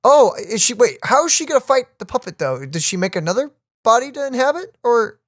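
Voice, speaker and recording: Animated woman reacting to a game. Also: on the high side, brisk. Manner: animated, chatty, rambling. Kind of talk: casual reaction chat.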